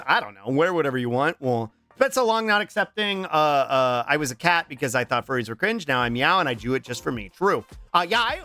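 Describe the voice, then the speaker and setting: Male streamer, 30s, bright and animated, strained voice cracking from allergies, lots of drawn-out ums, swears freely. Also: mid-range voice. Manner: long strings of drawn-out filler ums, profane and joking. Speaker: game streamer. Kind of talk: casual chat reading out viewer messages.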